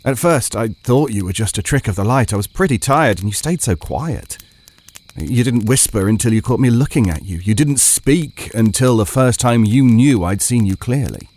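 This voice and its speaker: A man's voice: medium pitch, expressive, normal speed, a little mellow, natural voice. A man playing a frightened, weary camper telling his horror story in a hesitant, brooding, confessional way. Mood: uneasy, reflective. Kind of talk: first-person dramatic audio horror storytelling.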